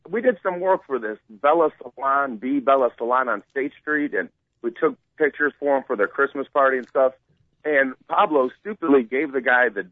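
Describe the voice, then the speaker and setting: A male radio co-host calling in over a thin, tinny phone line, rambling through a story with an excitable, self-amused manner. His voice sits at an ordinary middle pitch. There is no room around it at all, just the dry phone signal. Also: no room sound. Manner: rambling, excitable, laughs at his own stories.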